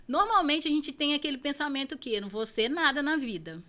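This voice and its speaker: A woman's voice: sits high, animated, fairly quick, pushed and bright. A Brazilian woman in her thirties, a podcast guest telling her own story in an earnest, reflective way. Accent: Brazilian Portuguese. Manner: earnest, reflective, repeats phrases for emphasis. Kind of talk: personal storytelling in an interview.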